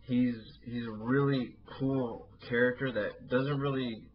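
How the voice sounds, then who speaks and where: A man's voice: mid-range voice, steady tone, measured pace, muffled recording. A man co-hosting a casual movie chat podcast, rambling with lots of fillers and restarts. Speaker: podcast co-host.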